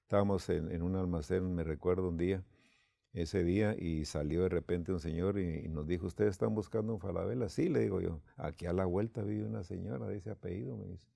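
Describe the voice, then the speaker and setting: A man's voice: low-pitched, fairly quick, creaky, dark tone, dry recording, studio quality. A man from Guatemala, telling a personal story unhurriedly with quoted dialogue, as a church leader.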